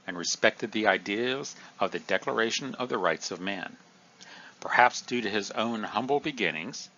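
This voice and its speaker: A man teaching a recorded history review lesson, explaining in a lively, emphatic way. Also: fairly low pitch, lo-fi sound, slight background noise.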